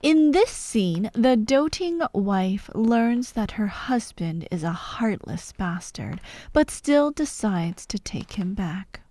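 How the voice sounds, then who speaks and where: Girl doing a sadistic actress villain, slow n taunting, theatrical, kinda whispery, asmr roleplay. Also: mid-range voice, expressive, dark tone, no echo. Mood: mocking, menacing. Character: vengeful fired actress, sadistic hostage-taker directing victims. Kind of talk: ASMR roleplay audio drama.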